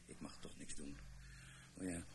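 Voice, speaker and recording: A man acting in a Dutch radio play as a gloomy, resigned doctor with a gravelly voice. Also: a bass voice, steady tone, unhurried. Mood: resigned, sour. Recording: noisy radio play recording.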